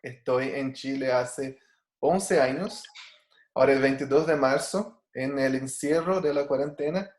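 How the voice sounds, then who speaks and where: A man's voice: mid-range voice, a little slow, a little mellow, roomy sound. Brazilian man teaching an online Bible class. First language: Portuguese. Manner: earnest, with frequent fillers and restarts. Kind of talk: online class over video call.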